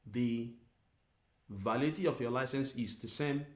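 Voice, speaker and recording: A man teaching driving theory, reading out Italian rules and explaining them slowly in English with lots of repetition. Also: medium pitch, a little mellow, echoey room. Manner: reads Italian text then explains it in English, repeating terms. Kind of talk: slow explanatory lesson.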